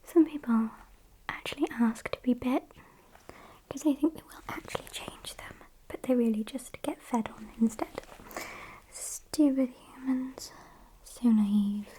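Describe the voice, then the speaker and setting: A British woman playing a sassy, morbid gothic vampire kidnapper in a whispered ASMR roleplay, soft, unhurried and deadpan. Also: a little high, a little hiss or hum, natural voice, poor audio. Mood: chatty, smug, then scornful. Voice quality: breathy whisper.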